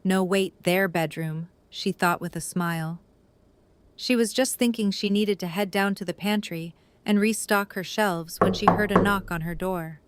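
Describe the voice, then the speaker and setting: A woman's voice: medium pitch, a little slow, slight background noise. A woman reading scripted story narration for an audio drama.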